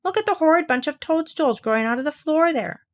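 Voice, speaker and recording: A woman reader plays a storybook mother kangaroo. She is brisk and fussy, pitched up, with a scolding, flustered edge of disapproval. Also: lively intonation. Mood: cross. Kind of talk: children's storybook character reading.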